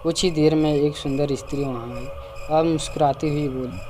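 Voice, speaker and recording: A man's voice: on the high side, fairly even intonation, moderate pace, darkish tone, slight background noise. A man narrating a scripted cartoon folk tale as storyteller.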